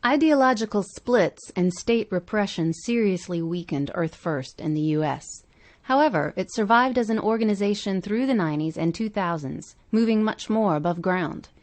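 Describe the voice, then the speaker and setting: A woman's voice: medium pitch, expressive, normal speed, darkish tone. A woman reading scripted narration as a podcast host.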